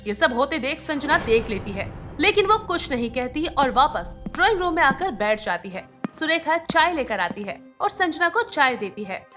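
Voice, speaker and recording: A woman's voice: high-pitched, sing-song, normal speed, hardly any pauses, bright, forceful tone, soft background music. A woman reading scripted narration for a moral bedtime story as its storyteller.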